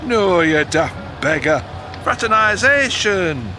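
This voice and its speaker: An actor playing a blunt, canny 1910s newspaper editor with a Northern English accent, gruff and teasing, in an audio drama. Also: male voice, sits high, animated, slow, over music. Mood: sly, explaining. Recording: noisy moving-car ambience behind.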